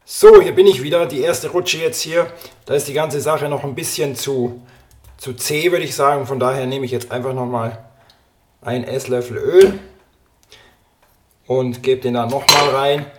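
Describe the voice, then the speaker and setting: A man with a slightly hoarse voice from a cold, hosting a cooking podcast and chatting casually as he prepares a recipe in his home kitchen. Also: mid-range voice. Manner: relaxed, talks while chopping and cooking. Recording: kitchen clatter and clicks behind. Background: dishes, pots and pans clattering.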